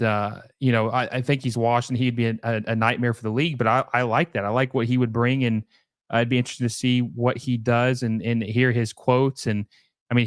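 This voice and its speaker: A man's voice: mid-range voice, no room sound, studio quality. Rambling man chatting on a live stream. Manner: rambling, many fillers and restarts. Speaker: livestream host. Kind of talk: casual live stream chat.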